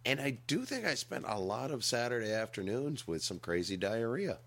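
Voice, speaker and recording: A man's voice: mid-range voice, sing-song, normal speed, a bit bright. A middle-aged man hosting a podcast in casual comedic chat, with wry mock-announcer asides, on a noisy recording. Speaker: podcast host.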